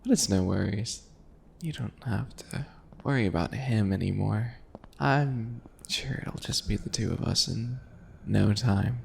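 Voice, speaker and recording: A man voicing a smug, creaky, slow-talking bunny alchemist. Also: fairly low pitch, a little mellow, a little hiss or hum. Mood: sweetly possessive. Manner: mocking, slow, drawn-out taunting with breathy whispers and chuckles. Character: smug, teasing, obsessive yandere bunny alchemist, male. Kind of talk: ASMR roleplay acting.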